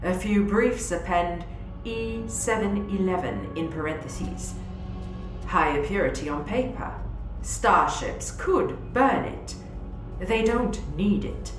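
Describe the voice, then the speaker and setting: Actress as female military commander, slow delivery, grave, deliberate, authoritative, roomy echo, sci-fi audio drama. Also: mid-range voice, expressive. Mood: controlled, briefing. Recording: roomy echo under prominent music.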